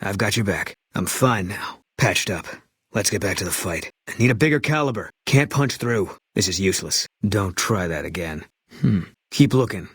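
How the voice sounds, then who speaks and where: An actor playing a gruff, terse ex-army sniper in a video game, grim and guarded, with a husky voice. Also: male voice, expressive, moderate pace, fairly bright tone, dry recording, clean studio sound. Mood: gruff, irritated. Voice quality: low, husky. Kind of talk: video game voice acting, narration and combat barks.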